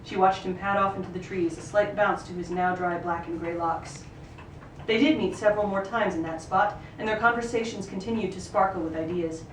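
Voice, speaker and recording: A woman reading a novel excerpt aloud at a live reading, in an expressive storytelling manner with occasional stumbles. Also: mid-range voice, fairly quick. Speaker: actor reading a book excerpt. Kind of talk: live literary reading, third-person narration. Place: live reading in a roomy venue. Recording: roomy, distant microphone, noisy ambience.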